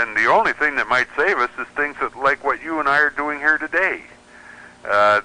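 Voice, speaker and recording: A man's voice: lowish voice, sharp tone, low-quality recording. An American man giving explanatory, opinionated commentary as a guest in a radio interview. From United States. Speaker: radio show guest, pirate radio enthusiast.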